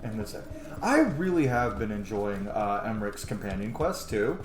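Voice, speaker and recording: A man's voice: on the low side, sing-song, normal speed. A man in his thirties giving casual, rambling, opinionated gaming commentary as a let's play host, swearing freely. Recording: echoey room, noisy game ambience. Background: video game ambience.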